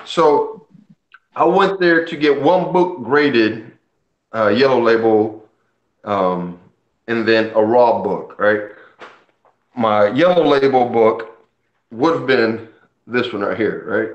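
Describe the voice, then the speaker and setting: A man's voice: medium pitch, slow pace, long pauses. A man from Puerto Rico, Spanish his first language, casually chatting as host about comics with enthusiastic, rambling stories. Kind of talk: casual chat. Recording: dull roomy sound.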